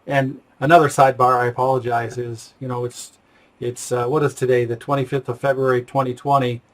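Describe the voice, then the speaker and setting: A man's voice: mid-range voice, flat delivery, brisk. An American man hosting a casual interview, talking in a tentative, digressive way. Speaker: interview host.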